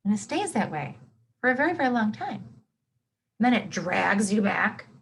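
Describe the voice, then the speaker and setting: A woman's voice: mid-range voice, sing-song, moderate pace, creaky, husky. A woman reading a nonfiction book aloud to children, expressively, with simple explanations and reactions as a teacher would.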